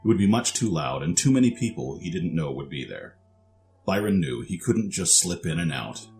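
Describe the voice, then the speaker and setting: A man with a very low voice reads scripted story narration. He serves as the narrator of an audio drama, with soft music underneath. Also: very animated, moderate pace.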